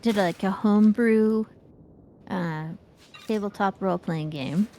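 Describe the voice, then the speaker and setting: A woman's voice: mid-range voice, unhurried, warm tone, slight background noise. A woman co-hosting a gaming show, chatting casually in a playful, rambling way while she plays. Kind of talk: casual chat while playing an online game.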